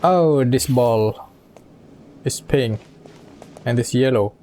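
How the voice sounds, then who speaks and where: Female gameplay streamer: very low, mellow voice, laid-back muttered reactions. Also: takes it slowly, slight background noise. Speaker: game streamer. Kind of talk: casual gameplay reaction commentary.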